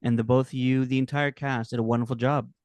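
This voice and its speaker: A man's voice: medium pitch, flat delivery, fast talker, a little mellow, dry recording, muffled recording. Polished male interviewer, dry sound. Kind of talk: entertainment press interview.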